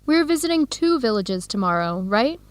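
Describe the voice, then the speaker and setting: An actress playing a warm, upbeat young researcher in an educational radio drama, speaking in clear, simple phrases. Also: female voice, a little high, sing-song, moderate pace, no echo. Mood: cheerful.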